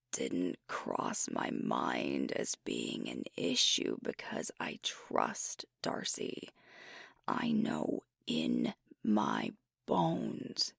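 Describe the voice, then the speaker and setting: ASMR roleplay: actress as female alpha werewolf leader, troubled and conscientious, very low hushed voice, slow and deliberate. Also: dry recording, studio quality, exaggerated voice. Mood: self-doubting. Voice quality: breathy, whispery. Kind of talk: ASMR roleplay monologue, whispered and intimate. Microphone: close microphone.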